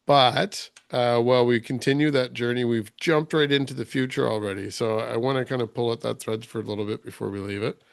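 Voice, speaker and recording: A man's voice: medium pitch, steady tone, brisk, gravelly, few pauses, clean tone, dry recording, clean studio sound. A man hosting an interview over a call, asking loose, rambling questions in a conversational way. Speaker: podcast host. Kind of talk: casual interview. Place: remote call.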